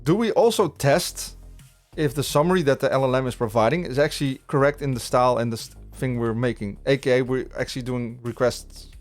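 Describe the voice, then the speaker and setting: A man's voice: mid-range voice, a little fast, soft background music. A man from the Netherlands whose first language is Dutch, hosting a casual live coding stream and talking through his plans with viewers, with frequent restarts and fillers. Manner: rambling, many restarts, chatting with viewers. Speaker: livestream coding host. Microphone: studio podcast mic.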